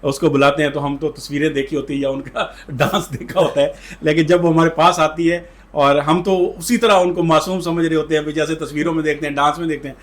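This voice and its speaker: A man's voice: on the high side, steady tone, fairly quick, hardly any pauses. A man with a Pakistani accent interviewing a guest in a wordy, colloquial, conversational way.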